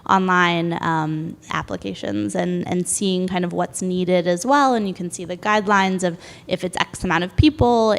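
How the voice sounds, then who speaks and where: Woman, small squeaky voice, upbeat panelist, handheld mic, hall reverb. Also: vocal fry. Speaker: panelist, event producer. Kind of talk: panel discussion. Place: large hall with an audience. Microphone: handheld microphone.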